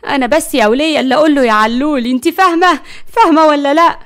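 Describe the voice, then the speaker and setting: Woman acting a fiery, fast-scolding Egyptian grandmother. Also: high-pitched, natural voice. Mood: furious, jealous. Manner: loud, sharp-tongued, nagging, exclaiming. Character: fiery, exasperated, scolding grandmother, jealous wife.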